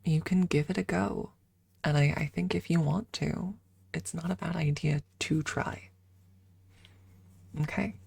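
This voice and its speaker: Breathy, slow-talking ASMR woman, close and dry. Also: low-pitched, fairly even intonation, no echo. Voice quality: breathy, soft. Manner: halting, informal, drifting between whisper and soft talk. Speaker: ASMR streamer. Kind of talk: ASMR casual chat, often whispered. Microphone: close microphone.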